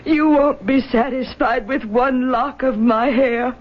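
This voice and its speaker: A woman's voice: sits high, steady tone, slow. An actress playing a distraught, abandoned young mother with theatrical, pleading grief in an old radio drama. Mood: anguished. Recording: muffled old radio broadcast recording.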